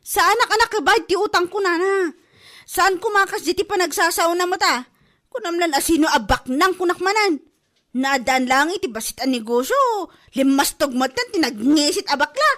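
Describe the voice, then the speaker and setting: A woman's voice: very high-pitched, expressive, moderate pace, fairly bright tone. A woman playing a shameless, gossipy debtor with a Filipino accent, whining and complaining in a comedy drama. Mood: indignant griping. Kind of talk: comedy radio-drama acting.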